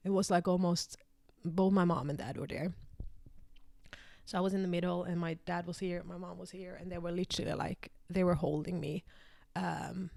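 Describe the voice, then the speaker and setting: A woman's voice: lowish voice, vocal fry, warm tone, no room sound, clean studio sound. A young woman from Finland whose first language is Swedish, telling a personal story in a rambling way, full of fillers and restarts. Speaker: vlogger.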